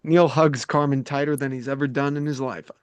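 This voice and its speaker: A man's voice: mid-range voice, fairly even intonation, fairly quick, smooth, no echo. Male narrator for a script read, medium register, delivering stage directions in a dry space.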